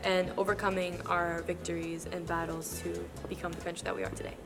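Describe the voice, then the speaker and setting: Teen girl, lower voice, plain answers, music behind. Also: level tone, fairly quick. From Brazil. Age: teens. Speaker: interviewed student. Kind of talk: casual interview answers.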